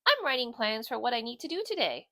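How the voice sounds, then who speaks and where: Woman as a sweet, earnest girl bulldog, high-pitched. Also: sing-song, fast talker, pushed and bright, dry recording, studio quality, exaggerated voice. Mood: eager. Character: sweet, smart, busy, determined female bulldog. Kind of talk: children's storybook character voice.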